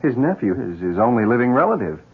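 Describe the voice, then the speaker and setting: An actor in a 1970s radio drama playing a considerate, concerned family friend, in muffled broadcast sound. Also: male voice, mid-range voice, sing-song, fast, slightly rough, exaggerated voice. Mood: friendly, measured.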